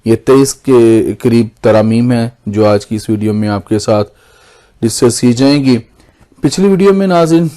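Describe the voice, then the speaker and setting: A man's voice: medium pitch, warm tone. A man with a Pakistani accent presenting an explainer as a lawyer, speaking formally and often restating his points in other words. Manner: formal, repeats and rephrases points. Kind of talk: explanatory lesson, semi-scripted.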